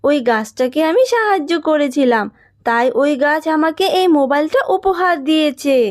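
A woman's voice: sits high, animated, moderate pace, no echo, clean studio sound. A woman voicing a caring, anxious mother fox in a cartoon, talking tenderly and expressively. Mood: eager, tender.

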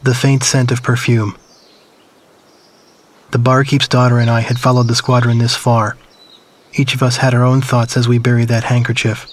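An actor playing a wistful man recalling his wartime youth, in measured, reflective, sombre scripted narration for a video game. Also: medium pitch, level tone, natural voice. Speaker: story narrator. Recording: loud music under voice. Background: music.

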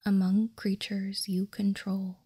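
A woman doing whispered ASMR in a soft, breathy, unvoiced whisper, reading out card text calmly and deliberately, close to the microphone. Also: mid-range voice, dry recording. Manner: slow, deliberate, calm reading. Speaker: ASMR video host. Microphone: close microphone, dry sound.

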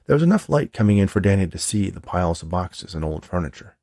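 A man's voice: deep voice, big pitch swings, quick pace, creaky, dark tone, husky, no room sound, clean studio sound. A man reading a story aloud as a scripted audiobook narration. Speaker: author reading own novel.

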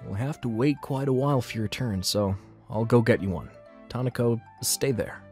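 A calm, protective man in a manga dub, played by a male actor. He speaks steadily at a middling pitch, reassuring in manner, with soft music underneath. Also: moderate pace, close to an everyday voice. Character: calm, protective men: a young henchman, a husband. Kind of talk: manga dub character acting.

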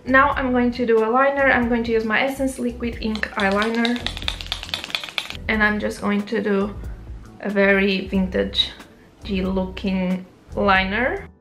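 A woman's voice: mid-range voice, steady tone, unhurried, over music. A young woman with a Portuguese accent, chatting through a makeup tutorial, often correcting herself. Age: young adult. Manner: chatty, self-correcting, rambling asides. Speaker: beauty vlogger. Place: small bedroom, indoors. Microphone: camera close up.

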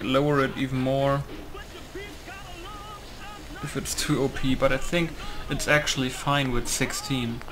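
A man giving casual gameplay commentary, musing aloud and often asking himself questions. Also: mid-range voice. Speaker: gaming video host. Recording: music playing behind the voice. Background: music.

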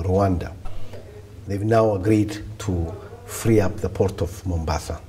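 Deep-voiced seated man in a studio. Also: a little hiss or hum. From Ethiopia. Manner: deliberate, steady, with repeated restarts. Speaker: development bank regional director, guest. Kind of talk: measured policy interview answers. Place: indoor studio set, seated in wicker chair. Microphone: lapel mic.

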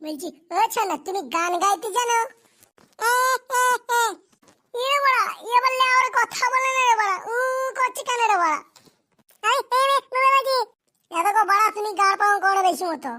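A performer voicing a hapless, foul-mouthed young cartoon groom in coarse slang, squeaky and childlike. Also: animated, moderate pace, pushed and bright, heavily acted. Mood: panicky, exasperated. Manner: coarse, grumbling, rural slang. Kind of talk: amateur comedy cartoon voice acting.

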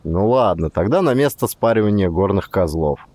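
A man's voice: low-pitched, animated, normal speed, darkish tone, no room sound. Man playing a wry, understated male lover. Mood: wry teasing. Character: male first-person hero, wry, calm, affectionate lover. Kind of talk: audiobook character dialogue.

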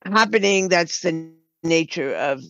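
Older woman, low voice, dull lo-fi sound. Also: animated, unhurried. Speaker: podcast guest, writer and producer. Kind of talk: conversational storytelling.

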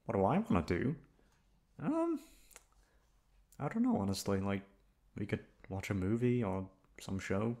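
A young man acting a shy, flustered, sweet-natured male classmate in an ASMR roleplay, speaking in a halting, stammering way. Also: fairly low pitch, big pitch swings, dark tone. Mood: relaxed, shy. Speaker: voice actor. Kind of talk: ASMR roleplay acting, one-sided dialogue.

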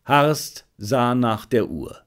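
A man's voice: mid-range voice, lively intonation, drawn-out words. A man reading a detective story aloud as scripted, dramatic audiobook narration.

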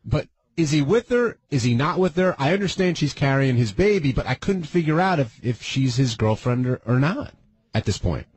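Husky-voiced man hosting radio talk. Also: medium pitch, animated, normal speed, darkish tone. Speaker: radio wrap-up show host. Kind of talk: conversational commentary and questions.

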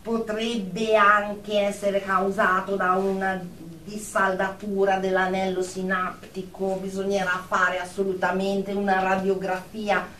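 An officious radio-drama psychiatrist acted by a woman speaks slowly and pompously, with clinical, scolding authority. The sound is roomy and off-mic, with faint noise. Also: medium pitch, monotone, a bit bright, close to an everyday voice. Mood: clinical, accusing. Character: stern, officious female psychiatrist assessing a patient. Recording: roomy, distant, noisy amateur recording.

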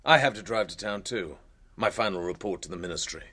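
An actor with a British accent playing a cool, laconic secret agent in a radio drama. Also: male voice, lowish voice, lively intonation, brisk, pushed and bright, slightly rough, full character voice. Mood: cool, businesslike.

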